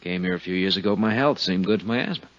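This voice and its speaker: A man's voice: low voice, rapid-fire, a bit bright, no room sound. An actor in a 1950s radio drama playing an affable but uneasy man under questioning, folksy and evasive with nervous chuckles. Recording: dull 1950s radio recording.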